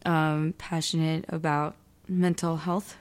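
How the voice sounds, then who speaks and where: A young adult woman talking animatedly and emphatically in a casual conversation as a podcast co-host. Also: low voice. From United States. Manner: animated, emphatic, quick bursts of speech.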